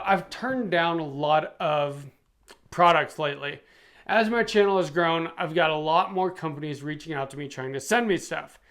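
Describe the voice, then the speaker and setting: A man's voice: a little high, fairly bright tone. A middle-aged man reviewing gear for his channel in a casual, chatty way. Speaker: product reviewer and YouTube channel host.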